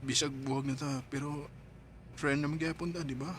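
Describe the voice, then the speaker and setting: A man's voice: on the high side, fairly even intonation, normal speed, a little hiss or hum, close to an everyday voice. An actor playing a wistful young man torn over an old love in a sentimental radio drama. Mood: hesitant, tender. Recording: music under the voice.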